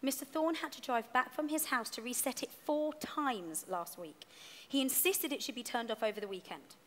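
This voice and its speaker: A woman's voice: fairly high pitch, normal speed, slight background noise, lightly acted. A woman playing a fussy, defensive male gallery curator in a dramatised story reading, explaining things at length. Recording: echoey hall, picked up in the room.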